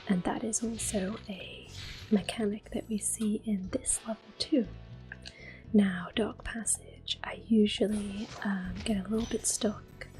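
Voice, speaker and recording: Slow, whispering woman doing ASMR commentary. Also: mid-range voice, steady tone, faint music behind, low-quality recording. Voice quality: hushed, breathy whisper. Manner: gentle, slow, unhurried. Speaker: gameplay video host. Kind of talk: soft-spoken ASMR game commentary.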